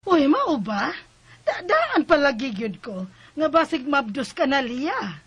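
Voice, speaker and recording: A woman's voice: sits high, sing-song, takes it slowly, fairly bright tone, dry recording, exaggerated voice. An actress with a Cebuano accent playing a knowing, advice-giving mother in a Bisaya radio drama. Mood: knowing, advising. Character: knowing, advising middle-aged mother of the family.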